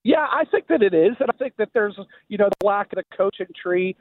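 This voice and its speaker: An American man phoning a radio show with casual sports opinions, speaking in a halting way over a phone line. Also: high voice, animated, dry recording. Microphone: telephone. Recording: narrow tinny phone line.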